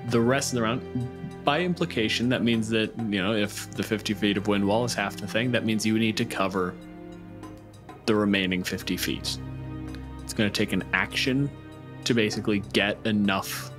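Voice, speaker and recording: A man's voice: lowish voice, moderate pace, over music. A man acting as game master in a tabletop roleplaying game, talking casually with some swearing.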